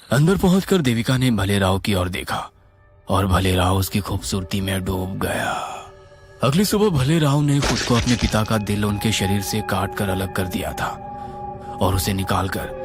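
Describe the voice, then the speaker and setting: Expressive male horror narrator over music. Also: lowish voice. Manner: suspenseful, expressive. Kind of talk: dramatic scripted horror narration.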